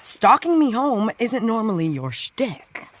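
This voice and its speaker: A woman playing a weary, guarded character in a scripted drama, curt, deadpan and sardonic. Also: a little high, unhurried, slight background noise.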